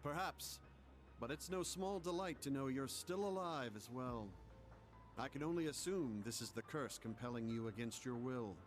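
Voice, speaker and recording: A man voices a composed older veteran in a game cutscene. His mid-range voice is measured and formal, with faint music behind. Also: mid-range voice, natural voice. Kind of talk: video game cutscene voice acting.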